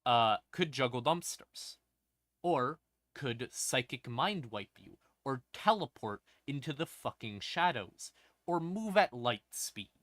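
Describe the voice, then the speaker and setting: A man with a bright, forceful voice and a mid-range pitch, recorded close and dry. He explains lore as a livestream host in an informal, wry way, breaking his sentences into short phrases with pauses and punchy stressed words. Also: lively intonation, no echo. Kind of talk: casual lore explainer to a live audience.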